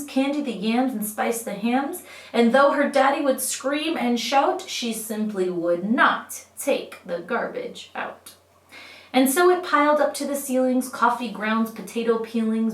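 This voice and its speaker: A woman teacher reading poems aloud to children, with expressive, clearly phrased delivery that addresses young listeners directly. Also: a little high. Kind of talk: read-aloud of children's poetry. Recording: roomy echo, distant microphone.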